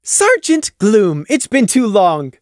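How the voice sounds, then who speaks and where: A man doing a giddy, high-pitched pony mayor. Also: big pitch swings, dry recording, studio quality. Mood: bubbly bossy. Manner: bright, bossy, rapid-fire chatter. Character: bubbly, pushy female unicorn mayor.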